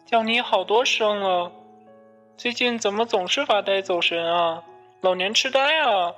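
A woman's voice: mid-range voice, a little slow, a bit bright, faint music behind, lightly acted. A woman voicing a sick, cheeky younger brother in an audio drama. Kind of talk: Chinese audio drama acting.

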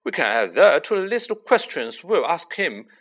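Performer doing a blunt, lively schoolboy. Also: medium pitch, normal speed, voice pushed up. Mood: wry, grumbling. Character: young schoolboy, blunt and excitable.

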